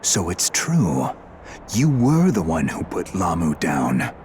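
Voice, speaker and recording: A man's voice: sits low, huge pitch swings, slow pace, a little hiss or hum, full character voice. A man playing a ruthless, zealous human warrior in a video game, contemptuous and commanding, with music behind him. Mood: grim, challenging. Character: ruthless, zealous human man who despises demons and weakness. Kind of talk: dramatic video game voice acting. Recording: loud game music underneath.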